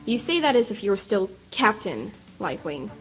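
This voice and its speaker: A woman's voice: medium pitch, sing-song, normal speed, natural voice, low-quality recording. Radio play nurse pony, played by a woman: stern, dry, scolding, faint music underneath. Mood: stern, reproving. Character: stern, no-nonsense female pony nurse scolding her patient. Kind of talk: radio drama acting. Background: music bed.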